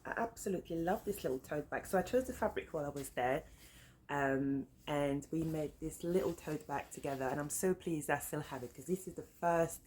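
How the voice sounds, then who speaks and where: A woman's voice: deep voice, a little fast, darkish tone. A woman in her thirties, French her first language, speaking English with a French accent, chatting casually and rambling with lots of "um"s and side notes as a sewing vlogger. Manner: rambling, easily sidetracked, many fillers. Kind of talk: casual chat to camera. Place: flat beside a busy road. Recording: faint traffic noise behind.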